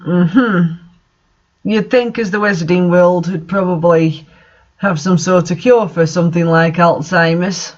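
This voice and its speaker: A man with a rather high-pitched voice reads a story aloud with sardonic commentary. He sounds exasperated by the material. The recording is somewhat dull and lo-fi. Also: steady tone, measured pace. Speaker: reader and commentator of bad fan fiction. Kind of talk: story reading with mocking commentary.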